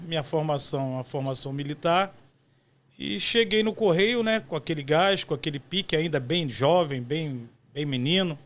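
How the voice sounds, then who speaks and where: A man's voice: on the high side, a bit bright. A man in his fifties with a Brazilian accent from Rio de Janeiro, answering in a conversational interview style as a podcast guest. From West Zone of Rio de Janeiro, Brazil. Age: 50s. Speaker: podcast guest, postal union director.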